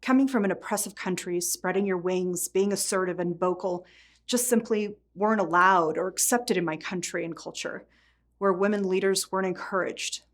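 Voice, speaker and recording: A woman's voice: medium pitch, moderate pace. A middle-aged woman from Poland, a native Polish speaker, giving an earnest prepared speech as a foundation board chair.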